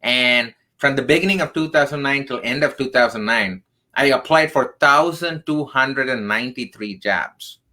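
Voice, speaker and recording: Man, from southern India, 30s, bright tone, earnest, emphatic, career coach guest. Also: mid-range voice, moderate pace. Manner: earnest, emphatic, frequent "right?" tags. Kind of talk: radio interview storytelling.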